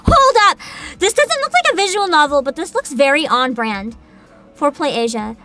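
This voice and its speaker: A woman hosting a livestream, chatting casually and reacting in an animated, exclamatory way with frequent swearing. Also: high-pitched, normal speed, music in the background. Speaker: livestream host, VTuber.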